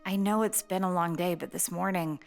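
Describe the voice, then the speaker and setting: A woman playing a caring, playful wife who gently probes, in a comic dub drama. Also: on the low side, fairly quick, creaky, soft background music. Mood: caring, probing. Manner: gently probing, teasing.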